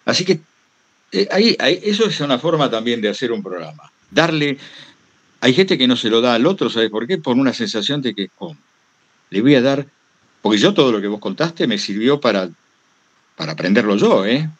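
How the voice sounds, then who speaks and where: A man's voice: medium pitch, big pitch swings, normal speed, breathy edge. An Argentine man giving an informal teaching talk as a veteran broadcaster, rambling through anecdotes and repeating phrases for emphasis. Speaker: workshop guest speaker, veteran radio broadcaster.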